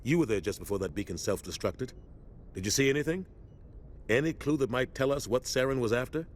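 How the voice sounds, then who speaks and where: A man playing a grave, loyal middle-aged military starship captain in a sci-fi video game, speaking with measured, earnest authority. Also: mid-range voice, animated, close to an everyday voice. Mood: grave, urgent. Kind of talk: cinematic sci-fi game dialogue.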